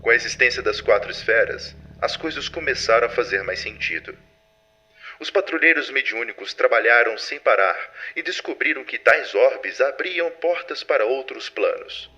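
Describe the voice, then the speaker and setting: A man's voice: medium pitch, lively intonation, normal speed, bright, forceful tone, muffled recording, slight background noise. A Brazilian man playing a composed, authoritative superintendent of a secret organization in an audio drama. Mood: formal, measured. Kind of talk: formal scripted archive recording, audio drama. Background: music.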